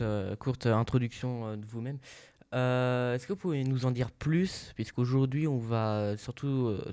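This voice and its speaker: A man's voice: mid-range voice, a little mellow, natural voice. A man playing a news interviewer who welcomes his guest formally and asks questions with frequent hesitations. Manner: polite, formal, many hesitation sounds. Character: male news agency interviewer, polite and formal. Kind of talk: roleplay interview.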